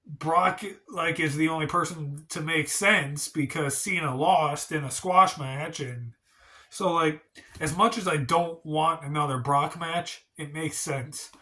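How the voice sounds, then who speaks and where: A man's voice: a little high, takes it slowly, bright, forceful tone. A man hosting a casual wrestling review vlog, talking in a rambling, hedging way, congested and stuffy from a cold. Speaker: wrestling channel host.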